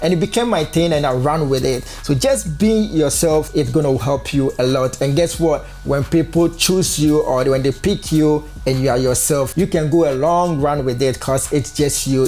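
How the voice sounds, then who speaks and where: A man's voice: medium pitch, darkish tone. A young man from Ghana with a Ghanaian accent, earnestly giving advice to camera in a casual vlog. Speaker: content creator. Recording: faint background music.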